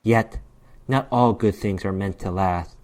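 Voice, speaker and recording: A man's voice: fairly low pitch, measured pace, darkish tone. A man narrating a horror short story as a dramatic reading, with theatrical, emphatic delivery.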